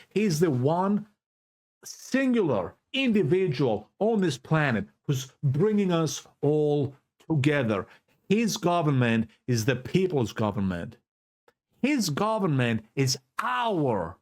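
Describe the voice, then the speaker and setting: A fervent Greek Cypriot man talks straight into his own camera and preaches like he's at a pulpit. He takes his time over every phrase, and his pitch swoops up and down with emotion. He hammers key words home by saying them twice. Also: very animated, slow. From Cyprus. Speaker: vlogger. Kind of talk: impassioned political monologue to camera. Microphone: self-recorded camera setup.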